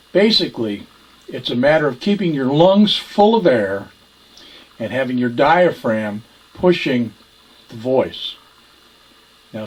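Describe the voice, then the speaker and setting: Guy teaching voice stuff casually, mid pitch, kinda halting as he explains. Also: darkish tone, rough recording. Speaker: ventriloquism instructor. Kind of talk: informal instructional talk.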